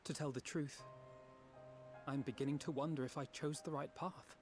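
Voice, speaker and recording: Fairly high-pitched man playing a solemn young fantasy leader; formal, measured, eloquent, music behind. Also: steady tone, darkish tone, close to an everyday voice. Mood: troubled, doubting. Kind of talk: dramatic video game voice acting.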